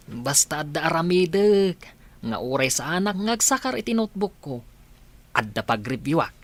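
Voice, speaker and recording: A woman's voice: low-pitched, very animated, moderate pace. A woman playing a cocky, mischievous schoolboy in a comedy radio drama, cheeky and boastful. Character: cocky, mischievous schoolboy who shirks studying.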